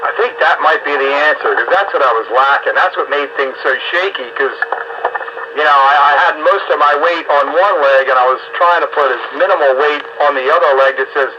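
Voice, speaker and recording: A man's voice: a little high, brisk. An American man chatting casually in a folksy way over amateur radio. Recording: dull, faintly noisy ham radio transmission.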